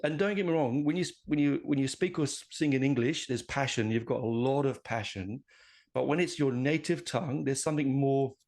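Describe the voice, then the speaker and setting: A man's voice: mid-range voice, a little fast, a little mellow. A man hosting a podcast interview in a casual, enthusiastic and effusive chat style.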